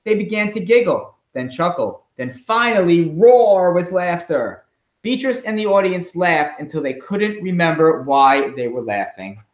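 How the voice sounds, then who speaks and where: A middle-aged man, a school principal, reading a storybook aloud expressively and pausing with chatty asides and questions for children. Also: sits high, animated. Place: indoors at home. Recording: echoey room in a home.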